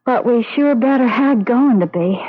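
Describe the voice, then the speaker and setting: An actress with a Texan accent playing a lovestruck, desperately devoted young ingenue in a radio drama, with a melodramatic drawl. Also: female voice, a little high, animated, moderate pace. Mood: anxious. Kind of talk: 1970s radio drama acting. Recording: dull vintage radio recording.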